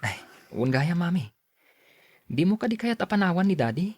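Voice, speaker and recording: A man's voice: high-pitched, sing-song, normal speed, darkish tone, dry recording. A young man with a Filipino Ilocano accent playing a sulky but affectionate student son in a radio serial. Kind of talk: radio serial drama acting.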